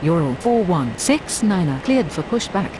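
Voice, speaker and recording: A woman's voice: fairly low pitch, big pitch swings, measured pace. A woman delivering scripted pilot and controller radio calls with clipped, procedural phrasing. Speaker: airline pilot and air traffic controller radio voice. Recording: clearly noisy audio.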